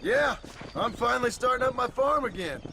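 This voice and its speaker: An actor playing a gruff, world-weary middle-aged ex-outlaw rancher with an American Western drawl in a video game cutscene. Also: male voice, very high voice, expressive, normal speed, heavily acted. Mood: calm.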